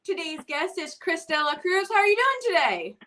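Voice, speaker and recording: A woman's voice: very high voice, sing-song, moderate pace, bright, forceful tone. A woman hosting a live online interview, bubbly and upbeat, laughing along with her guest. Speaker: host. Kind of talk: casual livestream interview. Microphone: video call.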